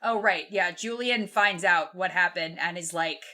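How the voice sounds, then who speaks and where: Bright-voiced young woman, chatty and informal. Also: fairly low pitch, unhurried. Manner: animated, informal and sweary, acts out quotes in passing. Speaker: podcast co-host. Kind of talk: casual book discussion, retelling a plot.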